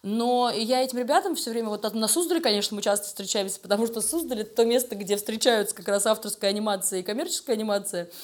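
A woman's voice: a little high, fast, hardly any pauses. A woman speaking as an interview guest, a creative director talking informally and emphatically, thinking aloud as she goes. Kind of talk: conversational interview talk.

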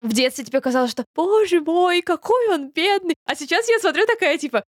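High-voiced young woman, slangy and informal. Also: expressive, brisk, no echo, studio quality. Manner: informal, youth slang, English loanwords. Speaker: podcast co-host. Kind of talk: casual chat about films.

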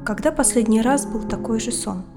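A woman playing a calm, attentive psychotherapist in her thirties in an audio drama, asking and guiding in a measured way. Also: on the high side, loud music behind the voice, close to an everyday voice.

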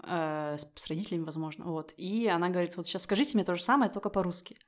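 A woman's voice: fairly low pitch, rapid-fire. A young woman from Russia, a podcast guest, talking things through in a casual, reflective way with many fillers and frequent laughs. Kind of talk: casual conversation.

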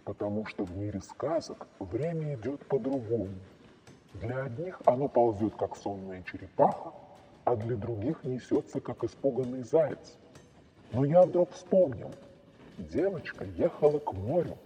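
A man's voice: mid-range voice, animated, unhurried, warm tone, close to an everyday voice. A man playing a kindly, enigmatic old magical storyteller in a children's fairy-tale podcast. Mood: calm, explanatory. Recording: dull sound, long reverb, faint noise.

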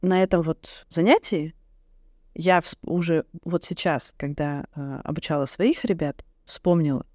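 A woman co-hosts a casual podcast in an expressive, giggly way. She talks as if to a friend, illustrating her points with examples. The sound is dry and close to the microphone. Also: deep voice, measured pace, no room sound. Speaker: podcast co-host. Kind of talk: casual chat between friends.

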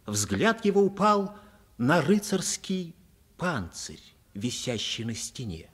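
A man's voice: on the high side, big pitch swings, takes it slowly, breathy edge. A man narrating a story expressively for a radio play.

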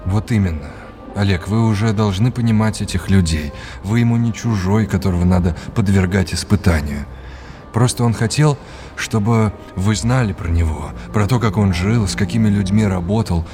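An actor playing a kindly, courteous academic mentor in an audio drama, deliberate and encouraging. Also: male voice, low voice, close to an everyday voice.

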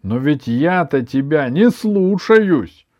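A cheeky, stubborn young grandson from a storybook, played by a man, raised in pitch and very animated. He argues emphatically and defiantly, with affection underneath, on a slightly muffled recording. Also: takes it slowly. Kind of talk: children's story reading.

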